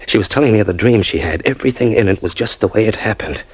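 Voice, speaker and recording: An actor playing a man plotting to smother his wife, speaking in a hushed, menacing way in 1940s radio style. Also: on the low side, lively intonation, quick pace, breathy edge. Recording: muffled old radio recording.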